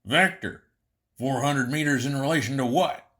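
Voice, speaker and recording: A man voicing a gruff, stubborn veteran alien pilot who coaches in short blunt questions in an audiobook story. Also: medium pitch, normal speed, a bit bright, pitched above the performer's own voice, close to an everyday voice. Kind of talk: audiobook character voice.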